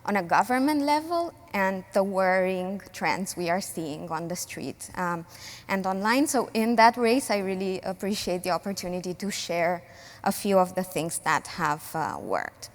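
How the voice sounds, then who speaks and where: A woman from Romania speaking as a civil society panelist in a panel discussion, earnest and measured, with frequent pauses and fillers. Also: fairly low pitch, expressive, long reverb tail.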